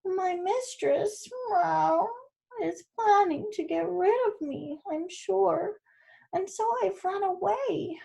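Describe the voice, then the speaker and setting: A woman voicing an old, tired cat who meows and complains slowly and softly. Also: extremely high pitch, creaky, pitched above the performer's own voice, heavily acted, rough recording. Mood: whiny. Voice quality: meowing, soft.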